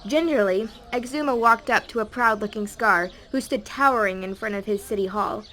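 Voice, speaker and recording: A woman's voice: a little high, moderate pace, sharp tone. A woman reading a scripted audiobook story as a dramatic narrator.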